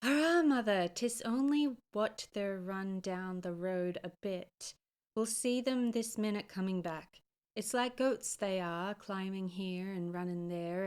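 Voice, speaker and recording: A woman reading aloud voices a bold young Irish country girl who speaks in brisk, scolding tones with a light Irish lilt. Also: medium pitch, a little mellow, natural voice.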